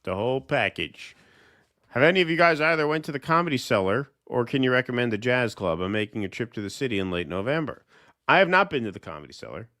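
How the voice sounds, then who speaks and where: A man's voice: medium pitch, lively intonation, quick pace, bright, forceful tone, no echo, clean studio sound. A man co-hosting a casual livestream, reading viewer comments aloud and thanking the people who sent them.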